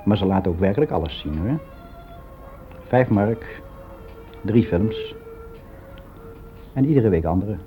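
A dull, lo-fi radio play recording of a lonely male office clerk of sixty. He talks candidly and haltingly in a mid-pitched voice, correcting himself as he confides his timid regrets. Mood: matter-of-fact, chatty. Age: 60s. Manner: halting, confiding, colloquial, with hesitant self-corrections. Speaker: actor performing a radio monologue. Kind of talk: conversational radio drama monologue.

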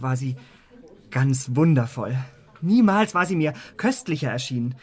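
An impressionable young romantic for radio drama. His mid-pitched voice is husky and soft-edged, and he speaks with earnest, rapturous feeling.

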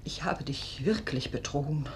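An actress playing an earnest, pleading, God-fearing aging wife in a 1950s German radio play. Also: female voice, very deep voice, expressive, normal speed, a little hiss or hum. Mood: guilty, subdued.

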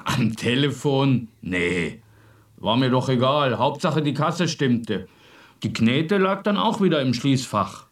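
A man's voice: mid-range voice, animated, takes it slowly, distant mic. An actor playing a cocky, crude male suspect in a radio crime drama.

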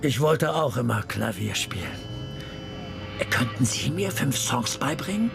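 Brash detective, swooping gravelly delivery. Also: male voice, mid-range voice, big pitch swings, unhurried, music in the background, full character voice. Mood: chatty, brash. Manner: sardonic, provocative, joking. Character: brash, cynical, wisecracking male police detective. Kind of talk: German radio crime drama acting.

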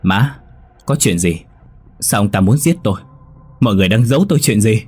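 A man voicing a wayward young son who pleads and sobs, his voice climbing high. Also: lively intonation, a little fast, a little mellow, husky. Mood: bewildered. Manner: pleading, sobbing cries.

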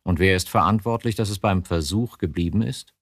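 An actor in a radio drama playing a troubled, probing chief of criminal police who questions insistently. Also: male voice, deep voice, fairly quick, no room sound, studio quality. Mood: uneasy. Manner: interrogating, insistent short questions.

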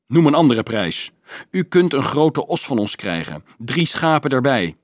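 A man's voice: medium pitch, big pitch swings, measured pace, dry recording, clean studio sound, pitched above the performer's own voice. A man voicing a bold, hot-tempered teenage boy who argues vehemently, in an audiobook. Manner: vehement, protesting. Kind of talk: audiobook character voice.

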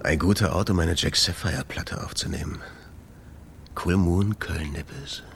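An actor playing a troubled, exasperated jazz club owner in a radio play, talking in a confiding way. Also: male voice, sits low, measured pace, vocal fry, slight background noise. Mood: worried, confiding.

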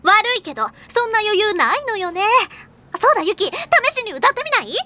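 Bossy, bright-voiced high-pitched schoolgirl. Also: big pitch swings, fairly quick, heavily acted. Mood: breezy, pushy. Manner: commanding, forceful, brusque. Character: bossy, brash, impatient high-school girl band leader. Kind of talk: anime comedy audio drama.

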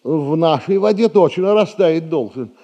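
An actor playing a foolish, scheming middle-aged merchant in a classic radio comedy, pompous and affectedly genteel. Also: male voice, on the high side, lively intonation, unhurried, warm tone. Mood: self-important.

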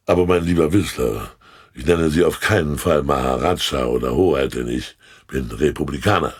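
An actor playing a self-satisfied, avuncular older statesman in a German radio play, speaking formally and pompously with a husky voice. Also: a bass voice, moderate pace.

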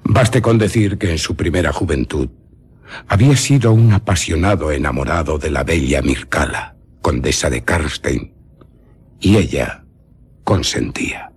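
Very raspy old baron, mysterious and learned. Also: male voice, rumbling low, expressive, exaggerated voice. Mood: mysterious, solemn. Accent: Castilian Spanish. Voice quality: very husky, raspy. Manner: deliberate, dramatic storytelling with lingering pauses. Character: eccentric old nobleman baron, mysterious, learned vampire scholar. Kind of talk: Spanish radio drama acting.

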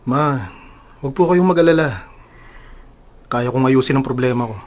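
A man's voice: mid-range voice, normal speed, close to an everyday voice. A man playing a troubled, respectful young adult son in a radio drama. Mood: troubled, hesitant.

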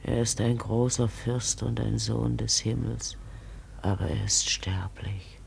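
A woman acting a grim, foreboding bearer of bad tidings in a German radio drama, with a gravelly, breathy whisper and an ominous, fatalistic manner. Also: rumbling low, fairly even intonation, takes it slowly, darkish tone, a little hiss or hum, heavily acted. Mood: ominous, grieving.

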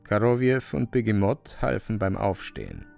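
A man's voice: on the low side, huge pitch swings, moderate pace, vocal fry, a little mellow, soft background music. A man narrating a literary radio play, telling the scene as a scripted story.

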